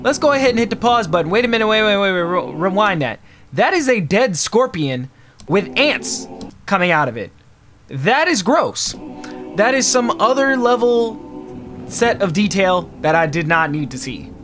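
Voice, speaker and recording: A man in his twenties giving an excitable, slangy live reaction as a video host, recorded indoors at home. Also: high voice, moderate pace, faint music behind. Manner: animated, exclamatory, slangy. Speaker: YouTube host reacting to a game trailer. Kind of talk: casual live reaction vlog. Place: small furnished room at home, indoors.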